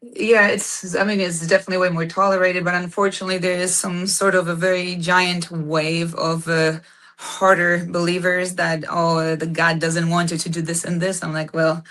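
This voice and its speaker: Woman with a low voice. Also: little pitch movement, moderate pace, a bit bright. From Poland. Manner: frequent "uh" and "um", searching for words. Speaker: band singer, guest. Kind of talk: casual interview chat.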